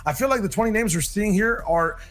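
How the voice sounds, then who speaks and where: A man's voice: high-pitched, animated, fairly quick, a bit bright. A man giving live esports commentary as an analyst, in a casual, conversational manner. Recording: music playing behind the voice.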